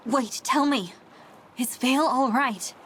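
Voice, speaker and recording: A woman voicing an earnest, kind young heroine and leader in a video game, sincere and gentle. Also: sits high, slow, slight background noise. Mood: concerned. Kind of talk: video game cutscene voice acting.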